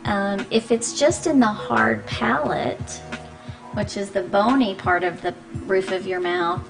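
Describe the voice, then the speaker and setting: A woman speech pathologist speaks on a radio talk show in a patient, measured, explanatory way, pausing with ums as she walks through each point. Her pitch sits in the middle of the range. Music plays clearly underneath in the studio. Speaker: speech-language pathologist guest.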